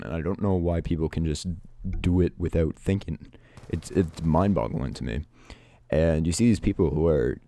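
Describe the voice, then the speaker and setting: Teen male vlogger, very low pitch, mellow dark tone, raspy and congested from a cold, hesitant delivery. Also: no room sound. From Canada. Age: teens. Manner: hesitant, many restarts and fillers. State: sick, stuffed up, raspy. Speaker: YouTuber. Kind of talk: reflective advice vlog.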